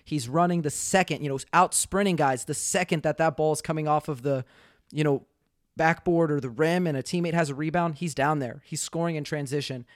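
Young male sports podcast co-host, slightly high pitch, dry mic, chatty analyst. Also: a little fast, dry recording. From Texas. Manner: animated, analytical, many fillers. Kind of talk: casual basketball analysis chat.

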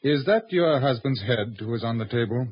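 An actor playing a stern magistrate who interrogates suspects in a commanding, deliberate way, in 1950s radio drama style. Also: male voice, mid-range voice, expressive, close to an everyday voice. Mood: stern, probing. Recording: muffled, noisy old radio recording.

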